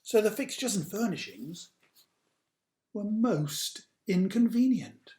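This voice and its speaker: A man reading a picture book aloud to children, with dramatic drawn-out emphasis and hushed asides. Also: sits high, expressive. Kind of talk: animated children's storytime narration. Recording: roomy, echoey sound.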